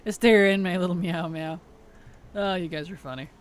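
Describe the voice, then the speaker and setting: A woman game streamer chatting casually and playfully on a livestream, her tired voice starting to weaken and turn gravelly. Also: fairly low pitch, normal speed. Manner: playful, teasing, chuckles often. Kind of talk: casual livestream chat over gameplay.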